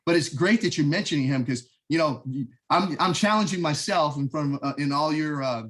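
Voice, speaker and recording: A man's voice: fairly high pitch, fairly quick, fairly bright tone. A middle-aged American man, a military veteran, talking about his upbringing in a casual interview, earnest and rambling with many restarts. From United States. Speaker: podcast guest, veteran. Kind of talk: casual conversation.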